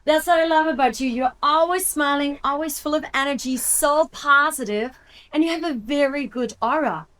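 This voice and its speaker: A woman's voice: high voice, normal speed, fairly bright tone. A woman hosting an interview, encouraging and friendly toward her guest. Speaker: host. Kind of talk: casual interview chat.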